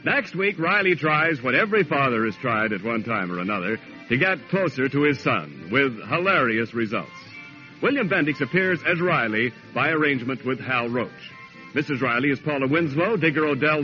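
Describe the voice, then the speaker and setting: A man reading scripted copy as a formal, emphatic 1940s radio announcer. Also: medium pitch. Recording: dull 1940s radio recording, faint music.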